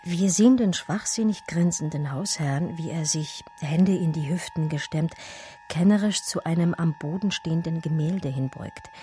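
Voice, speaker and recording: A woman's voice: low-pitched, normal speed, warm tone, a little hiss or hum, lightly acted. A woman playing an articulate, biting art historian who tells her own story in a radio drama. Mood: scornful, shaken. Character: female art historian recounting her discovery in first person. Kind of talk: dramatic first-person radio play narration.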